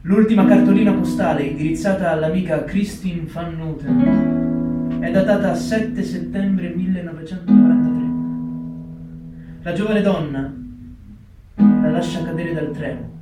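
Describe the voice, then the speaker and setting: A solemn narrator played by a male actor with a fairly high man's voice delivers deliberate, factual stage narration. He sounds far from the mic in a very reverberant space, under prominent guitar music. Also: lightly acted. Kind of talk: scripted theatrical narration. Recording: very echoey, distant, loud guitar music.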